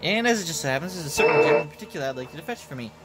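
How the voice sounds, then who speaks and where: A man's voice: a little high, animated, racing, lightly acted, rough recording. A man voicing a greedy, scheming goddess moneylender in a game, breezy, pushy and self-satisfied, over music. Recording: loud game music behind voice.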